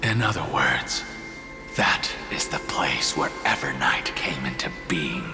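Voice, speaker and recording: A solemn mythic being for a video game. The voice is extremely deep and swings expressively, drenched in long, echoing reverb over music. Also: male voice, big pitch swings, a little slow, exaggerated voice. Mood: grave. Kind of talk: dramatic video game voice acting.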